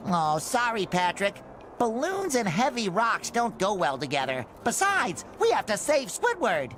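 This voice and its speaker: A man voicing an eager, earnest, boyish cartoon sea sponge in a video game, caring and excitable. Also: high voice, measured pace, a little hiss or hum, full character voice. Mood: apologetic.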